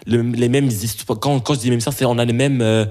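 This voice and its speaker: A young man, answering interview questions in a halting way, often searching for words. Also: mid-range voice, fairly even intonation, darkish tone. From Belgium. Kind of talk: casual interview talk.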